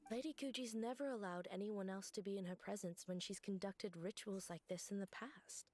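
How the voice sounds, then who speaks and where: A woman's voice: mid-range voice, moderate pace, a little mellow, soft background music, natural voice. A woman voicing an earnest, deferential shrine attendant in a video game, speaking politely. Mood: grateful. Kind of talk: game character voice acting.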